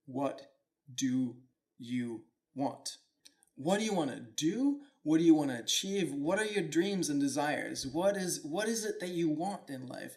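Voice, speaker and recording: A man's voice: fairly high pitch, creaky. A man from Denmark, hosting his own show in an earnest, reflective, casual way. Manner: earnest, reflective, loose with fillers like "like". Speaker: podcast host. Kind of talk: solo motivational talk.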